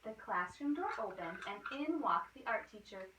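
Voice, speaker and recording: A woman's voice: mid-range voice, sing-song, moderate pace, sharp tone. A woman schoolteacher reading a picture book aloud expressively and prompting with questions in a teacherly way. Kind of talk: storybook read-aloud with questions to a child. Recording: roomy, distant microphone, faint noise.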